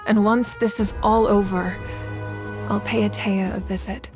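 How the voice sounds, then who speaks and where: A woman playing a composed, commanding leader of a nation in an anime video game, measured, dignified and resolute. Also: mid-range voice, fairly even intonation, natural voice. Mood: wistful. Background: game music.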